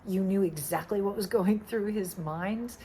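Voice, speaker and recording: A woman's voice: mid-range voice, unhurried, warm tone. A woman giving an effusive, emphatic spoken review as an audience member. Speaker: film festival audience member. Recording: faint background noise.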